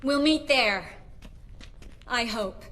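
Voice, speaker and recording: A woman's voice: a little high, drawn-out words, fairly bright tone. A woman voicing a spirited, determined sorceress in a video game, direct and wry. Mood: earnest.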